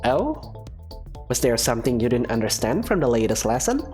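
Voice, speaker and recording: Wry female professor, man doing the voice, measured lecturing, lively pitch, dry teasing. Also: soft background music, natural voice. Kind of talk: fan visual novel voice acting.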